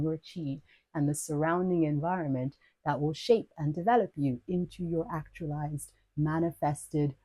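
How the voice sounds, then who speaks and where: A woman's voice: low-pitched, warm tone, husky, no room sound, rough recording. A woman from Nigeria hosting a podcast, reading her own lyrical personal essay in a playful, wordy, self-mocking way. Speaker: podcast host. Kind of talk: scripted personal essay narration.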